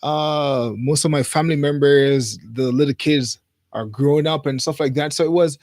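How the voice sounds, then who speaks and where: A man from Jamaica hosting a livestream, chatting informally to viewers in a rambling way and pausing as he goes. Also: fairly high pitch, darkish tone, no echo, studio quality. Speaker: livestream host. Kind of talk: casual livestream chat to viewers. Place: studio.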